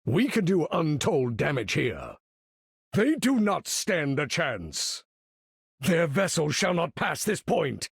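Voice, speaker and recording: A man's voice: a little high, sing-song, takes it slowly, vocal fry, husky, no room sound, studio quality, exaggerated voice. A man voicing an imperious older supervillain in a video game, commanding battle with pompous, theatrical, formal diction. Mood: haughty, commanding.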